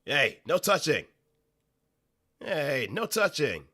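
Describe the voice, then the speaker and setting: A man voicing an indignant fighting game character, barking short warnings loudly and forcefully. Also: fairly high pitch, sing-song, takes it slowly, pitched above the performer's own voice, full character voice. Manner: loud, forceful shouted barks. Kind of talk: video game battle voice lines.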